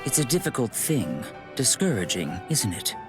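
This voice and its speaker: An actor voicing a reflective man in an anime game, with a measured, musing delivery. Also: medium pitch, sing-song, close to an everyday voice. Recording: loud music behind.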